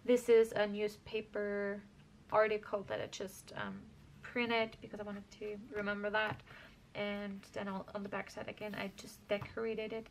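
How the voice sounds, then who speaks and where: Young female crafter from Austria, chatty and meandering, gravelly fry, faint background noise. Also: medium pitch, flat delivery, moderate pace. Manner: rambling, self-correcting, chatty. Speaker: craft vlogger. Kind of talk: casual scrapbook walkthrough.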